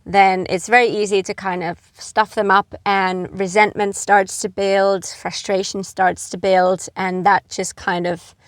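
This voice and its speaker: A middle-aged woman from Finland giving earnest, gentle advice to camera, with frequent fillers like "you know". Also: medium pitch, no echo, clean studio sound. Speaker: expat vlogger.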